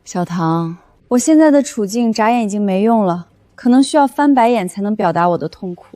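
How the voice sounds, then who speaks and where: A young woman playing a feisty, easily flustered office worker in an animated comedy, talking in a glib, excitable, indignant way. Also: mid-range voice, fairly quick, natural voice. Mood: exasperated. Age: young adult. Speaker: office planner. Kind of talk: animated comedy drama acting.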